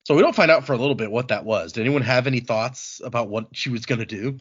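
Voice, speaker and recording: Lower-voiced man, dull lo-fi podcast sound. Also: fast, husky. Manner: informal, colloquial, voices characters' lines in paraphrase. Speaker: podcast co-host leading a book read-along. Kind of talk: casual chatty retelling of a story with commentary.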